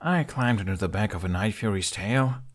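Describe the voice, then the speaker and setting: A man's voice: on the low side, normal speed, natural voice. A man voicing a stubborn, daring teenage boy in an audiobook story, bold and insistent. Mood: playful, assured.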